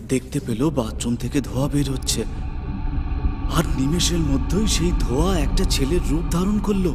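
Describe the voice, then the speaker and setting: Male horror narrator over prominent music. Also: mid-range voice. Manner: suspenseful storytelling. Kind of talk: scripted dramatic horror narration.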